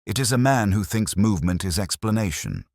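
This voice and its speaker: A man voicing a gentle, unhurried, dryly ironic police inspector in a mystery story. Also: fairly low pitch, animated, vocal fry, dry recording, studio quality, voice pushed up. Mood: dry, reflective. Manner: gentle, measured, merely courteous.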